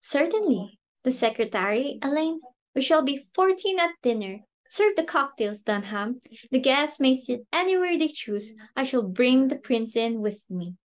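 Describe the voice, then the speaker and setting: A woman's voice: high voice, lively intonation, normal speed. A woman playing a flustered, snobbish society hostess and mother in an amateur dramatic reading, exclamatory and imperious. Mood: excited, flustered.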